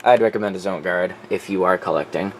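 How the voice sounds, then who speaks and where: A man with a fairly low voice talks as a hobby collector showing his things. His delivery is informal and unscripted, with loose, salty language. A faint steady noise sits behind him. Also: quick pace. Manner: informal, profane. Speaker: collector presenting his weather radio collection. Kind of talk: casual off-the-cuff show-and-tell.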